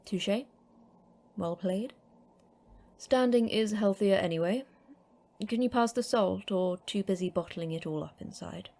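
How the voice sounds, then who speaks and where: A woman playing a terse female manager of a secret base, speaking in a deadpan, sardonic manner in an audio drama. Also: mid-range voice, unhurried, long pauses, lightly acted.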